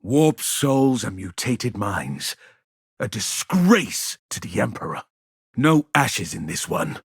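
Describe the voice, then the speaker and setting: Very husky pious warrior zealot. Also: male voice, on the low side, big pitch swings, slow pace, no room sound, clean studio sound, heavily acted. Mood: solemn disdain. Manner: preachy, lofty, condemning. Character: stern, pious male space marine zealot. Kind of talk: video game battle barks.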